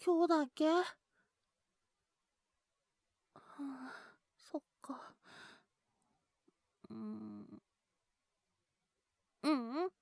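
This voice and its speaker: A woman plays a small boy down with a cold, his voice very high and childlike. He talks slowly, cheeky yet needy, pleading between coughs. The sound is dry and intimate, made for a situation voice drama. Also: expressive, gravelly, a little mellow, dry recording, exaggerated voice. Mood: clingy, wistful. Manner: pleading, sulky childish talk with frequent coughing. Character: cheeky little boy with a cold, clinging to his older brother.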